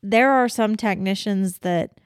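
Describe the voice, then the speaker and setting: A woman's voice: mid-range voice, unhurried, smooth, no echo, studio quality. A woman co-hosting a casual podcast, talking bluntly with plenty of swearing and laughter. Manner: blunt, profane, laughs often. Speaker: podcast co-host.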